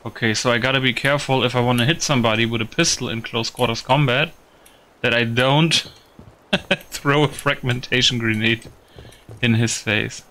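A man with a bright, clear-cut tone commentates casually over a video game. His delivery is halting and repetitive, as if he is working out his thoughts while he speaks. His pitch sits in an ordinary male range. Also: fairly even intonation, slight background noise, rough recording. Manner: halting, repetitive, thinking aloud. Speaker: gaming video host.

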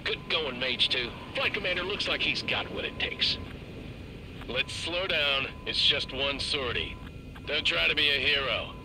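An actor playing a protective, informal fighter squadron leader speaking over cockpit radio in a flight game. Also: male voice, lively intonation, measured pace. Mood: steady, encouraging. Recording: noisy cockpit radio with engine rumble.

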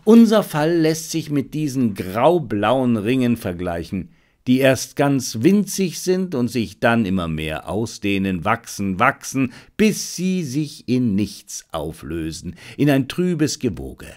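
A man voicing a confident gentleman amateur detective in an audiobook, self-assured and commanding, given to reflection. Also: medium pitch, sing-song, slow. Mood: satisfied, musing.

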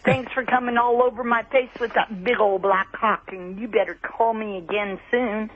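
A woman's voice: on the high side, fairly even intonation, normal speed, slight background noise. An older woman speaking over a telephone line in an explicit role-play phone chat. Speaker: phone sex line operator.

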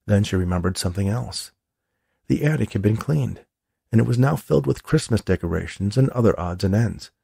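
Expressive gravelly male audiobook narrator. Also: on the low side, brisk, dry recording, clean studio sound. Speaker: author reading own novel.

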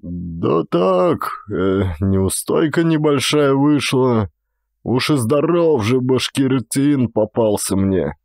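Man acting a gruff, burly Cossack lad. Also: medium pitch, lively intonation, slow pace, a little mellow, dry recording, clean studio sound. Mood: gruff, dismissive. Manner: gruff, offhand muttering. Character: burly, reckless young Cossack son, gruffly shrugging off injury.

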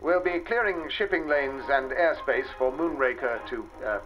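An actor playing a humble, halting industrialist war hero in a radio drama, heard through an old newsreel filter. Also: male voice, medium pitch. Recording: muffled old newsreel, music behind.

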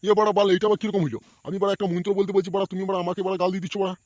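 A man's voice: high voice, level tone, rapid-fire, a little mellow, no room sound, lightly acted. A man voicing a bossy, blustering village man in a comedy cartoon, with crude speech. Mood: irritated. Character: bossy, foul-mouthed village man at a wedding. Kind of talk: amateur comedy cartoon voice acting.

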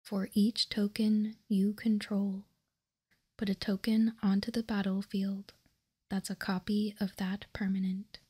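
A woman whispering softly and breathily in an ASMR style, reading aloud in a hushed, deliberate way close to the microphone. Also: medium pitch, level tone. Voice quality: soft unvoiced whisper, breathy. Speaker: ASMR host.

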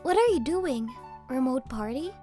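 A woman's voice: high-pitched, moderate pace. A woman playing a guarded, nonchalant university student niece in a story, with an offhand manner. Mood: polite, reserved. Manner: flat, offhand. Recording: music behind the voice.

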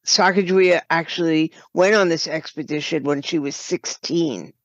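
An older woman, talking reflectively as an interview guest and pausing mid-phrase to choose her words. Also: low voice, no room sound, muffled recording. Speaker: podcast guest, writer and producer.

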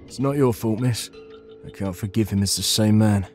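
An actor voicing a calm, protective man in a manga dub, steady and reassuring. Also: fairly low pitch, moderate pace, soft background music, close to an everyday voice. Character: calm, protective men: a young henchman, a husband. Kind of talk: manga dub character acting.

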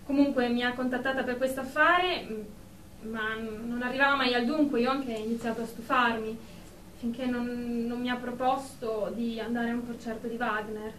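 An echoey, slightly distant radio drama recording. A woman acts out a snooty, prim office lady with a fairly high voice, clipped and superior, quick to take offence. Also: fairly even intonation, a bit bright, lightly acted. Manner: superior, clipped, indignant, formal. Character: haughty, prim, easily offended female office professional in therapy. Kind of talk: Italian radio drama acting. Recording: echoey room, distant mic, background noise.

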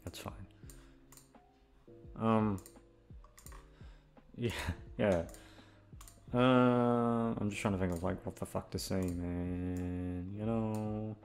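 A man's voice: fairly low pitch, steady tone, very slow, pauses often, darkish tone, faint music behind. A young man chatting off the cuff to his listeners, rambling and hesitant, with casual swearing. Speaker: ASMR audio creator, voice actor.

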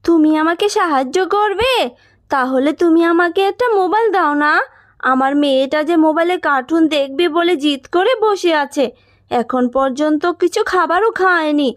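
A caring mother fox from a children's cartoon voiced by a woman, speaking in a high voice. She is tender and expressive, with an anxious motherly streak. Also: moderate pace, dry recording, clean studio sound. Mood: eager, tender.

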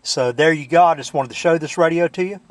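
A man's voice: on the high side, fast talker, dry recording. A man in his truck casually showing off a CB radio, folksy, with lots of filler words. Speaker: CB radio hobbyist demoing a radio. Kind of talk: casual show-and-tell.

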